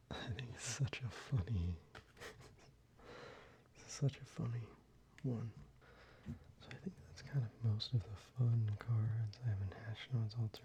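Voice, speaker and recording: A man whispering softly and unhurriedly, with fillers, as he shows cards to the viewer for ASMR. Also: medium pitch. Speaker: ASMR creator. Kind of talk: soft-spoken ASMR card deck showcase.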